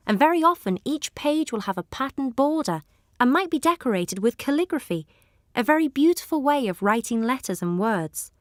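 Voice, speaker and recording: A woman's voice: high-pitched, no room sound, studio quality. A woman presenting a children's radio program, explaining things in a friendly way to young listeners.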